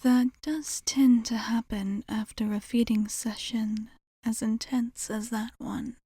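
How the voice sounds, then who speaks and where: A woman acts out an ancient female vampire bartender in an intimate ASMR roleplay. Her voice is soft, mellow and breathy, often slipping into a whisper, and it sits fairly high. She speaks very slowly and formally, composed and attentive, in a close, dry recording. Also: fairly even intonation, no room sound, exaggerated voice. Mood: gentle, attentive. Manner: measured, formal wording with thoughtful pauses.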